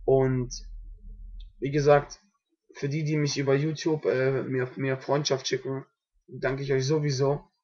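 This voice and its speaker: A young man with a cold-hoarse voice, talking casually to his webcam in a small dim room, rambling with many fillers. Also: mid-range voice, darkish tone. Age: young adult. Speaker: beat producer. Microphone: webcam microphone, quiet at first. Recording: dull lo-fi webcam audio.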